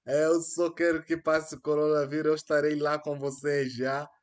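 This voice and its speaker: A man's voice: fairly high pitch, level tone, normal speed. A young man with an Angolan accent, hosting a solo live stream in an earnest, hesitant way, with many fillers and restarts, speaking into a headset mic. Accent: Angolan Portuguese. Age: young adult. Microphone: headphones with mic.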